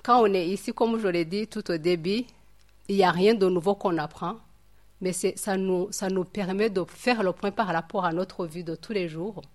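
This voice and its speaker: A woman's voice: medium pitch, moderate pace. Hesitant Rwandan-born woman teaching scripture. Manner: hesitant, many fillers and repeated words. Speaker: Bible study leader. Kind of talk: informal Bible teaching.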